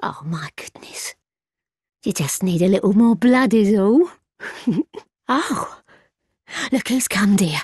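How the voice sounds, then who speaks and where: A woman's voice: mid-range voice, expressive, slow pace, pauses often, darkish tone, slightly rough, no room sound. An actress playing a bitter, suspicious, half-mad old townswoman in a gothic video game, with a British accent and a scornful, muttering manner. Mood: crazed, cooing. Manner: scornful, muttering and scolding by turns.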